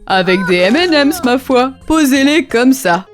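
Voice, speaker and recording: A woman's voice: high-pitched, lively intonation, measured pace. A woman voicing a playful, bossy orc father in a rhyming children's cartoon, with music behind.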